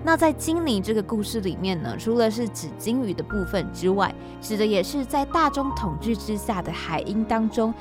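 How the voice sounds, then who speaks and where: A woman's voice: medium pitch, sing-song, moderate pace, few pauses. A woman with a Taiwanese Mandarin accent hosting a podcast and introducing it in a casual, explanatory way. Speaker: podcast host and scriptwriter. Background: background music.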